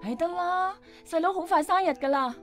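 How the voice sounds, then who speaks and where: An actress playing a weary, lonely young doctor with a sighing, brittle manner, in a Hong Kong Cantonese radio drama. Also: female voice, very high voice, normal speed, soft background music. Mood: weary impatience. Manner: sighing, impatient, emotional.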